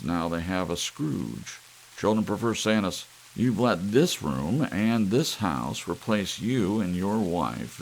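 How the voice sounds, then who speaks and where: A blunt psychologist, acted by a male reader, lectures with grave emphasis. The voice is low and creaky. It belongs to an audiobook drama, with faint rain and hiss behind. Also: heavily acted. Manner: emphatic, admonishing. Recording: audible hiss with rain-like noise.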